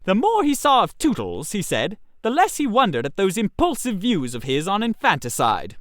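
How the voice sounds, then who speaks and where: A theatrical storyteller reads scripted comic narration. The delivery is wry and hugely animated in pitch, with a mid-pitched voice and a very dry recording. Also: normal speed, no room sound.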